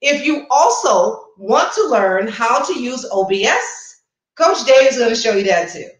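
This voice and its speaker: Woman livestream host, chatty and playful, high voice, roomy echo. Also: big pitch swings, measured pace. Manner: chatty, playful, addresses viewers directly. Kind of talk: casual livestream chat with promotions.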